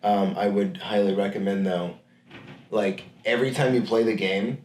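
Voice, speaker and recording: A man's voice: lowish voice, fairly even intonation, normal speed, darkish tone, a little hiss or hum. A man co-hosting a podcast, chatting in a laid-back way and often chiming in with agreement. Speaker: podcast co-host. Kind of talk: casual chat about video games. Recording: echoey room.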